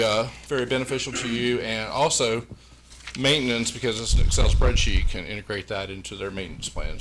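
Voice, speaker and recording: A man, presenting to a school board in a folksy way, full of "uh" fillers. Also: noisy background. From Pulaski County, Virginia, USA. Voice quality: big booming voice. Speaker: engineering firm presenter. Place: public meeting room. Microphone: meeting room microphone.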